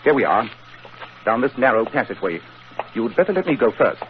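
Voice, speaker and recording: A man playing a cool, lofty detective in a 1940s radio drama, speaking in a crisp, teasing manner on a muffled old recording. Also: mid-range voice, big pitch swings, brisk, a little hiss or hum, natural voice. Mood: commanding. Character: cool, brilliant, sardonic male detective.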